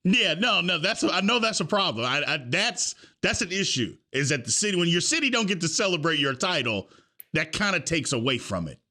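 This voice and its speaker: A man's voice: a little high, very animated, brisk, bright, forceful tone. A man with an American accent hosting a casual sports talk podcast and putting questions to his guest. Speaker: podcast host, interviewer.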